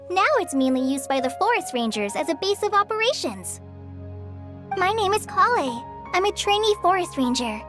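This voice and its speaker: A woman voicing an earnest, modest young trainee forest ranger in a video game, polite and a little hesitant. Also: very high-pitched.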